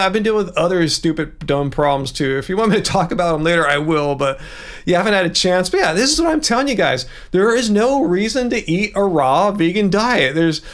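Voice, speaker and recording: A man's voice: on the high side, a bit bright. A man hosting a casual livestream, chatting in a rambling way and reading out viewer messages. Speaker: livestream host.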